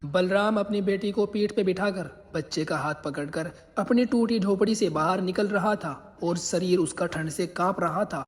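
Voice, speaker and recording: A man's voice: sits high, normal speed, few pauses, a little hiss or hum. A man narrating a scripted cartoon story as its storyteller. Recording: long reverb, music behind.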